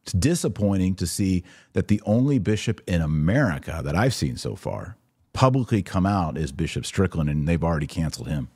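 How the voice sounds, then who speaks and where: Male podcast host, low and a touch rough, dry sound, emphatic commentator fielding viewer questions. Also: expressive, fairly quick, a little mellow, dry recording. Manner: emphatic, repeats short phrases for stress.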